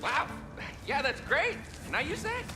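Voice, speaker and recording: A man's voice: very high-pitched, unhurried, full character voice. A man voicing a chatty, nosy male refugee in a video game cutscene, with music behind. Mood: excitable. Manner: chatty, nosy, swings between excitement and doubt. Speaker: game character.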